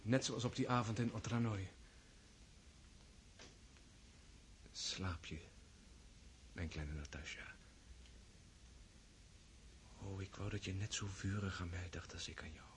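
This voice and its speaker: A man's voice: sits low, unhurried, long pauses, slight background noise. An actor playing an earnest, lovestruck prince in his thirties in a radio play, fervent and sometimes hesitant. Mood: tender, fervent.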